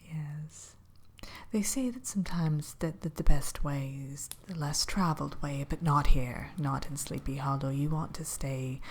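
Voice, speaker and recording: A woman acts out a kindly witch who keeps a spooky inn, in an ASMR roleplay. Her voice is very breathy and mostly whispered, sitting fairly low. She takes her time, musing and hesitating with a coy, mischievous hospitality. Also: a little slow, full character voice. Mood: warm, cautioning. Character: kindly, spooky witch innkeeper welcoming a guest.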